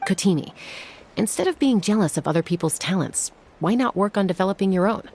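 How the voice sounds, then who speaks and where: A woman playing a composed young warrior and protective peacemaker in a video game, with a calm, measured, gently firm manner. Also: medium pitch, a little hiss or hum, lightly acted.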